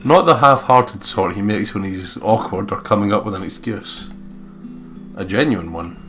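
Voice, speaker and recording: A man with a fairly low voice narrates a visual novel as a let's play host, slipping between reading and banter. Guitar music plays clearly behind him. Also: moderate pace. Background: guitar music from the game.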